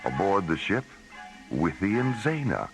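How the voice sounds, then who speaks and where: A man narrating a science-fiction radio drama as a solemn announcer, in a portentous, measured delivery. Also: deep voice, big pitch swings, full character voice, rough recording. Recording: radio drama with music underneath.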